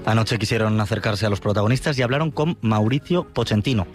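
A man's voice: on the low side, fast, faint music behind. A man with an accent from Spain, hosting a radio programme in a conversational way. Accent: Spanish from Spain. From Yecla, Murcia region, Spain. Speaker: radio host.

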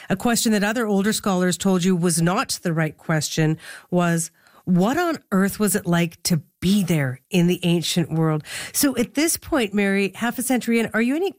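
A woman hosting an interview in a conversational way, measured and attentive as she builds up to her questions. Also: medium pitch, a little mellow, no room sound, studio quality. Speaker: interviewer, host.

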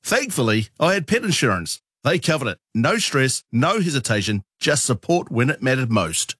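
A man's voice: medium pitch, very animated, normal speed, fairly bright tone, breathy edge, dry recording, studio quality. A man giving a scripted personal testimonial for an advert. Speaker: advert voice, introduced as estate agent.

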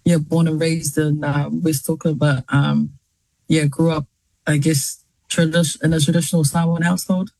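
A woman's voice: low voice, level tone, normal speed, warm tone, no room sound, rough recording. A woman in her thirties with a New Zealand accent, a journalist chatting as a guest on a talk show, full of fillers and easy laughs. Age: 30s. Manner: chatty, laughs often, many fillers and restarts. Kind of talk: casual interview chat.

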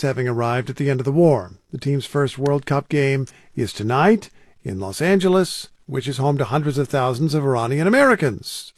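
A man anchoring a radio newscast, introducing a report in a steady, formal way. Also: a little high, dry recording. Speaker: news anchor. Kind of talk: news introduction.

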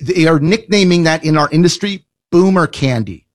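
A man's voice: a little high, animated. A man hosting a financial advice radio show, talking in a blunt, emphatic way and rattling off lists. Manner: emphatic, blunt, rapid listing. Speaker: financial advisor radio host.

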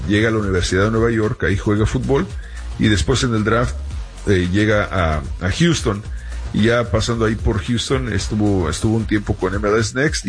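A man hosting a soccer talk show, speaking conversationally with frequent fillers and self-corrections. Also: on the low side. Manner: conversational, many fillers like "este" and "eh". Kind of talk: casual sports talk.

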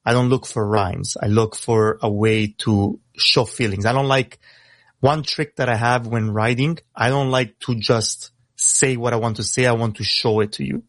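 A young man who grew up in Italy, with Italian as his first language, talking casually over a video call in a halting way with many fillers. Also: mid-range voice, steady tone, no room sound. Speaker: rapper guest.

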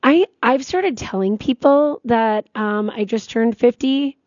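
A woman's voice: on the high side, a little slow, a little mellow, dry recording. A woman hosting a radio show, chatting casually in an informal, bantering way. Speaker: radio host. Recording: muffled radio broadcast audio.

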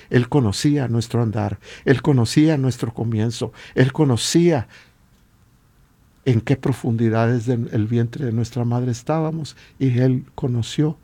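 A man with a Latin American Spanish accent and a husky voice, preaching informally and emphatically with repeated rhetorical questions. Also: medium pitch, warm tone. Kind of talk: informal exhortation sermon.

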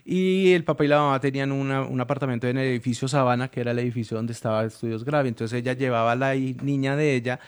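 A man spins long, rambling anecdotes in a relaxed studio chat. His voice sits at an ordinary middle pitch, and he restarts his sentences often as he recalls names and dates. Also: fast talker, hardly any pauses, clear, resonant tone. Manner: chatty, tells long stories with many restarts. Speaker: comedian and show regular. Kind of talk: anecdotal storytelling in casual chat.

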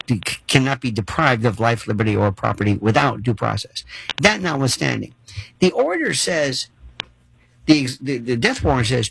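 Gravelly theatrical radio talk host, a man. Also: mid-range voice, a bit bright, dry recording, low-quality recording. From Florida. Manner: theatrical, wordy, mock-formal.

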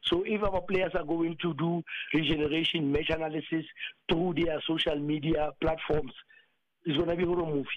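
A man who is a football coach, with a South African accent and a husky voice hoarse from celebrating, speaking emphatically with many fillers in a radio interview. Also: fairly high pitch, steady tone, brisk, dry recording, lo-fi sound.

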